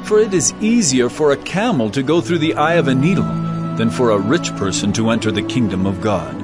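A man's voice: medium pitch, animated, a little fast, close to an everyday voice. An actor playing an earnest, solemn male teacher of parables in a dramatized audio Bible, speaking emphatically. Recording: loud background music.

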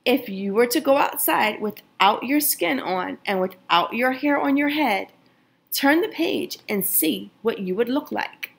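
A woman teacher reading a picture book aloud to children, gently addressing her young listeners and asking them questions. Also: unhurried, vocal fry, a little hiss or hum. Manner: expressive, lively pitch swings on questions.

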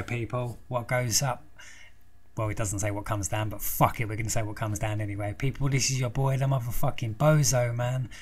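Young man, hoarse from a sore throat, swearing his way through a casual game guide at an ordinary pitch. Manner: swears constantly, rambling and chatty. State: croaky from a throat infection. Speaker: gaming video host. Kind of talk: casual profane game walkthrough commentary.

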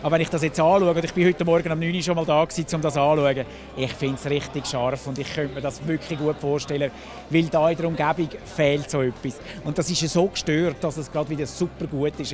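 A man in his fifties with a Swiss German accent, speaking rapidly and enthusiastically into a handheld mic at a crowded venue opening. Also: a little high, steady tone, music in the background. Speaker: restaurateur. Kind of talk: casual interview. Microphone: handheld reporter mic.

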